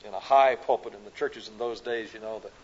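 A man from the American Midwest with a Midwestern accent, preaching a sermon at a conference, rising to loud emphatic peaks and dropping to soft, slow phrases. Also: lowish voice, steady tone, husky. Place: conference hall. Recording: dull lo-fi recording.

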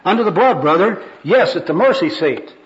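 A kindly old evangelist, put on by a man reading a skit, exhorts in pompous, genteel church jargon. He is fervent and high in pitch, heard on a dull, lo-fi recording. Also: lively intonation, normal speed. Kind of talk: amateur skit read aloud.

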